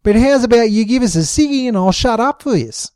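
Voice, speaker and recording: A man voicing a foul-mouthed, brawling pregnant woman, yelling abuse. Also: extremely high pitch, expressive, fairly quick, clean tone, no room sound, voice pushed up. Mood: cheeky. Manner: yelling abuse, swearing nonstop.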